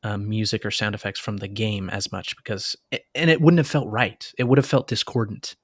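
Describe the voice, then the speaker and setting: A man's voice: on the low side, fairly even intonation, a little fast, no echo, clean studio sound. A man co-hosting a podcast, talking in a casual, chatty way and often hesitating mid-thought as he works out what to say. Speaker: podcast co-host and reader.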